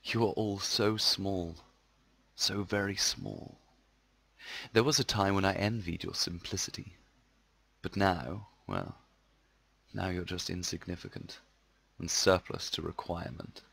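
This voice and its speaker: A man playing a coldly contemptuous, well-spoken British villain, measured and condescending. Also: sits low, gravelly, a bit bright, breathy edge, no room sound, full character voice. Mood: cold menace.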